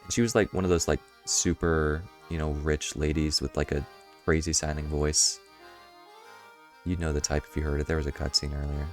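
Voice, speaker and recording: A man streaming a puzzle game, his voice a bit hoarse, rambling through casual commentary with chuckling asides. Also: very deep voice, little pitch movement, moderate pace, soft background music.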